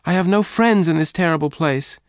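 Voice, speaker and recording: An anxious woman rancher of the Old West, voiced by a male reader, pitched up from his own voice. She is earnest, emotional and pleading, in a dry storybook recording. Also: normal speed, dry recording, lightly acted. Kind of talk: audiobook character dialogue.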